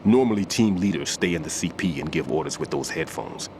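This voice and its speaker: A man playing a methodical, somber veteran bomb disposal expert in a video game cutscene, explaining precisely and gravely. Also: very deep voice, expressive, brisk, darkish tone, a little hiss or hum. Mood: wary, suspicious. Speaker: demolition expert giving instructions.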